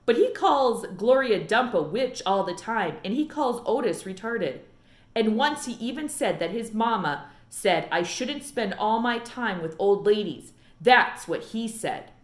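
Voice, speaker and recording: A woman's voice: fairly high pitch, animated, a little slow, echoey room. A woman voicing an earnest, curious and stubborn young girl in a storybook read-aloud. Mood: defensive.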